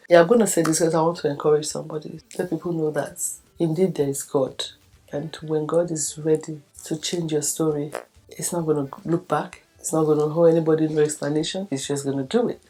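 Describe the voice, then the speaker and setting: A Nigerian woman in her fifties, whose first language is Yoruba, tells her own life story in a low, mellow voice. She slips into the people she remembers and acts out what they said to her. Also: fairly quick, breathy edge, poor audio. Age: 50s. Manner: storytelling, acting out quoted conversations. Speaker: documentary subject. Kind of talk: personal life story told to camera.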